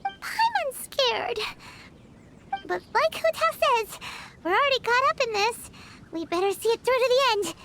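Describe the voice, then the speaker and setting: A woman voicing a tiny, excitable female fairy companion who talks about herself in the third person, for a video game. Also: very high voice, measured pace, slight background noise, full character voice. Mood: nervous.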